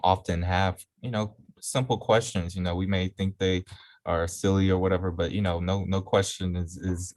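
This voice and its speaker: Very deep-voiced man speaking hesitantly. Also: a little mellow, dry recording. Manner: hesitant, repeats words, many fillers. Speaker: guest explaining voting. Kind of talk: casual chat on a video call. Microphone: video call.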